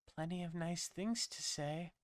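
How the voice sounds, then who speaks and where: Horror story stalker: eager male coworker, acted by a man, raised pitch, smug, unsettlingly pleasant. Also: unhurried, no echo, close to an everyday voice. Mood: smug, creepy.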